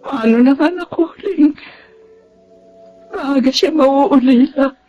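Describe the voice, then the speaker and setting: An actress playing an ailing, grief-stricken mother in a radio drama, speaking haltingly and emotionally. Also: high-pitched. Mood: despairing. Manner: halting, emotional, stammering delivery. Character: ailing, grief-stricken mother breaking hard news to her child. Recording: dull lo-fi radio drama with background music.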